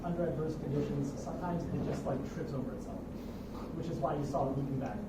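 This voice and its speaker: A young man with a high voice gives an informal technical demo, recorded from far off in an echoing room. Very loud noise surrounds him. His explanations come out halting and hedged. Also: fairly even intonation. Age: young adult. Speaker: student project presenter. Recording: noisy roomy capture from far off.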